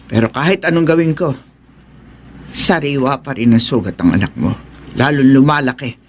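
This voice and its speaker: An actor playing a worried village healer in a horror radio drama, speaking in a grave, measured, foreboding way. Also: male voice, medium pitch, animated, a little hiss or hum, close to an everyday voice. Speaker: folk healer (albularyo).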